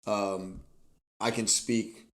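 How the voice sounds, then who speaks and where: A man hosting a podcast, asking questions in casual chat and speaking haltingly with many restarts. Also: lowish voice, little pitch movement. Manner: hesitant, halting, full of restarts. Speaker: podcast host.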